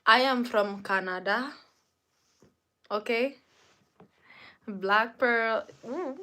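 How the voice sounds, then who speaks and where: A woman's voice: fairly high pitch, very slow, bright, forceful tone, soft background music. A woman livestreaming in casual chat, whose first language is not English, talking straight to her viewers and repeating herself often. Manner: talks straight to the chat, repeats phrases over and over. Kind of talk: casual live-stream chat.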